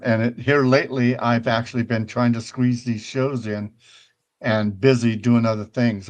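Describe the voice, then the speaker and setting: An older man hosting a relaxed talk show, wandering from point to point in an easygoing, rambling way. His pitch sits in an ordinary middle range, and he keeps checking in with his listeners. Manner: rambling, conversational, often checks in with "right?". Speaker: show host. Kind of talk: casual virtual-world tour and chat.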